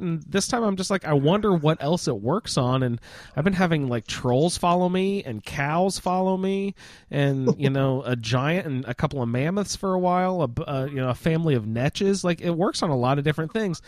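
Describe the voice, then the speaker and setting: A man's voice: on the high side, fairly quick, dry recording, clean studio sound. A man chatting casually and enthusiastically as a panelist on a gaming roundtable podcast.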